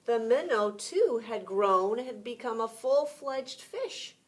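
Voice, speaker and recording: A woman preschool teacher reading a story aloud to young children, pausing to explain words simply and ask questions. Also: fairly high pitch, expressive.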